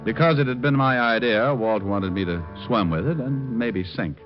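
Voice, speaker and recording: A man's voice: mid-range voice, normal speed, highs cut off, natural voice. An American man playing a sardonic, cool-headed private detective in 1940s radio drama style, with dry, flippant delivery. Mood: wry narration. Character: wisecracking, cool-headed male private detective narrating his case. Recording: band-limited vintage radio recording with music.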